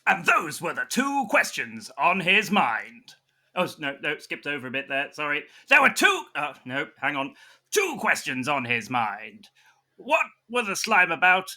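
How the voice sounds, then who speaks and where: Bright, forceful, theatrical man. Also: a little high, huge pitch swings, unhurried. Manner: flustered, hammy, dramatic delivery. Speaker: performer in an improvised comedy audio drama. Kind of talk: theatrical improvised narration and banter.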